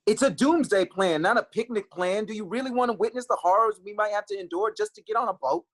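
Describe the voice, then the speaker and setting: A man's voice: high voice, very animated, quick pace, creaky, exaggerated voice. An actor playing a boyish, earnest, goofy young man in a scripted sitcom table read. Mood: indignant.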